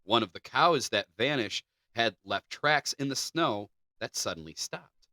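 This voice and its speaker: A man's voice: medium pitch, lively intonation, measured pace, pushed and bright, dry recording, clean studio sound. A man co-hosting a podcast, moving between reading scripted narration and informal chat. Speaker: podcast co-host.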